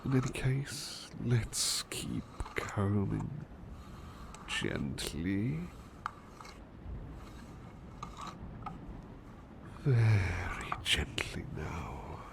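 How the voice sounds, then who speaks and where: A gentle, doting male butler, acted by a man in an ASMR roleplay, speaking very slowly in a lowish voice with lively swoops. He is cheeky and a little hesitant, repeating soft phrases close to the mic. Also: creaky, full character voice. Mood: gentle, attentive. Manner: hesitant, repeats phrases soothingly, often drops to whispers. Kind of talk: ASMR personal attention roleplay.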